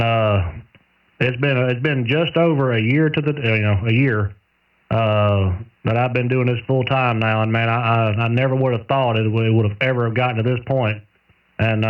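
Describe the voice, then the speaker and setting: A man with a Southern US accent chatting casually over two-way radio, in a folksy, unhurried storytelling way. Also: medium pitch. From southern United States. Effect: two-way radio transmission. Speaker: amateur radio operator, radio technician. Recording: narrow tinny single-sideband CB radio, distant.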